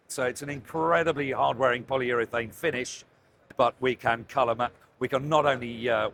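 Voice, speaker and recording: A man's voice: medium pitch, a bit bright. A British man gives an enthusiastic, effusive product presentation as a company representative, stressing and repeating words of praise. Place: trade show floor. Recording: clear background noise of busy trade show. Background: trade show ambience noise.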